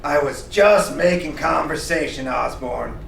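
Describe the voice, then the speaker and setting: A man's voice: a little high, unhurried, creaky, fairly bright tone, pitched below the performer's own voice, heavily acted. A man playing a blustering, bombastic, grouchy newspaper boss from a comic book. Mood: gruffly concerned. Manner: blustering, indignant, bombastic declamation. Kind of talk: comic book dramatic reading before an audience. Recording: echoey, distant live recording with noise.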